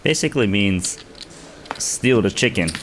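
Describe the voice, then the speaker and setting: A deep-voiced man calls a poker cash game. He walks through each hand in a measured, analytical way. Chip clicks and table clatter are clearly audible behind him. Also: lively intonation, noisy background. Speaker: poker commentator. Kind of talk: play-by-play commentary.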